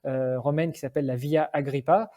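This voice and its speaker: A man's voice: on the high side, fairly even intonation, fairly quick, warm tone. A man from France, a podcast guest and endurance athlete, explaining at length in a casual, informal way with hesitations. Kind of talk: casual podcast interview chat.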